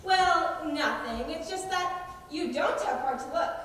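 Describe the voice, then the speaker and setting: Teen female actor as bold outlaw leader, very high lively voice, cocky stage delivery in a school play. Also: unhurried. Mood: cocky, amused. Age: teenager. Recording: distant, echoey hall with background noise.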